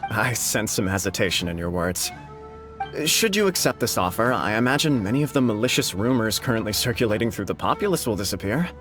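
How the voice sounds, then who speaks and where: A man's voice: lowish voice, big pitch swings, fairly quick, fairly bright tone, soft background music. A man voicing a composed noble official who speaks in a polished, formal and carefully persuasive way, in a game cutscene. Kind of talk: video game cutscene dialogue.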